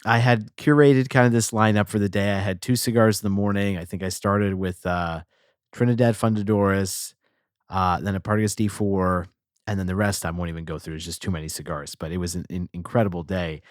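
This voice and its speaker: Lower-voiced man telling a story. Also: a little fast, dry recording, clean studio sound. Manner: animated, telling an anecdote. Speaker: podcast co-host. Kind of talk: casual storytelling. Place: cigar lounge.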